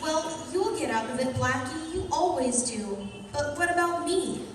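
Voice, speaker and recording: A woman's voice: sits high, lively intonation, a little slow. An actress playing a scheming young female crook who pleads like an innocent, in an old-time radio crime drama. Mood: anxious, pleading. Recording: echoey hall, distant mic, faint noise.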